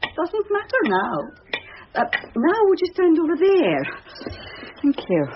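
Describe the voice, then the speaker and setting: An actress playing a policeman's sharp, jealous wife in a radio drama, with a dry, clipped, pointed manner. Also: very high voice, very animated, takes it slowly, full character voice. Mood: brisk, teasing. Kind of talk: naturalistic radio drama acting. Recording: muffled, noisy old radio recording.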